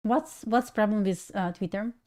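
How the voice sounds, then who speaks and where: A woman's voice: medium pitch, dark tone. Russian-accented woman chatting on a livestream. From Belarus. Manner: chatty, laughs often, restarts and halting phrasing. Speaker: trading livestream host. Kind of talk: casual livestream chat.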